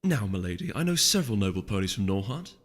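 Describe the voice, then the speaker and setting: A man's voice: lowish voice, sing-song, fast. Man playing a deferential, fussy stallion servant. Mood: gently reassuring. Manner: polite, mild, placating. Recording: long reverb, music underneath.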